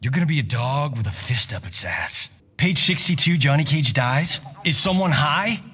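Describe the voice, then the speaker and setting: A man's voice: fairly high pitch, animated, normal speed, a bit bright, in a large hall, a little hiss or hum. A man with an American accent playing a cocky, wisecracking middle-aged movie star in a video game. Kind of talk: video game fighter banter.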